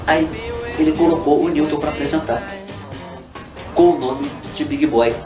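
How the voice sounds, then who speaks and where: A man's voice: fairly high pitch, steady tone, moderate pace. A Brazilian man telling anecdotes in a spontaneous interview recollection. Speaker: interviewee recalling radio history. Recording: roomy, distant interview with music.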